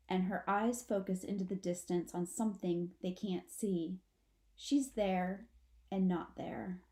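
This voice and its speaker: A woman reading a children's story aloud like a teacher, in a measured, expressive way. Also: mid-range voice. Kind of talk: storybook narration.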